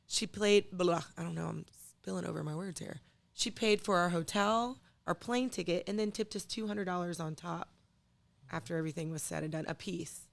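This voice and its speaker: A woman with a raspy voice and a cold, telling stories casually as a guest, slangy and acting out what people said. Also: lowish voice. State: sick with a cold. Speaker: guest, musician and wedding singer. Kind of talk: casual chat, candid storytelling.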